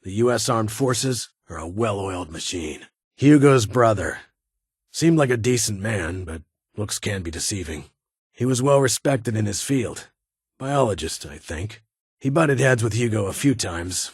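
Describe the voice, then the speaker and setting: A man's voice: fairly low pitch, animated, moderate pace, breathy edge, no echo, clean studio sound. A man playing a stern, guarded military security chief in a video game, with a clipped, authoritative and brusque manner. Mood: measured, candid. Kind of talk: video game character dialogue.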